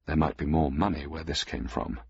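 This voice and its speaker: A man narrating a scripted story in a measured, even way. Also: a bass voice, creaky, husky, no room sound, dull audio.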